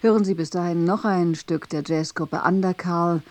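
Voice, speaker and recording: A woman's voice: lowish voice, steady tone, moderate pace, a little mellow. A woman giving a scripted continuity announcement on the radio.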